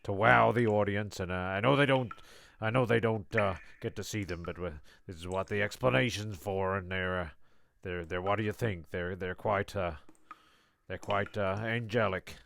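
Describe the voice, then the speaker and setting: A man's voice: on the low side, normal speed, gravelly, fairly bright tone, slight background noise. A man playing a flustered, earnest, stammering director of a shambolic production in a comic audio drama.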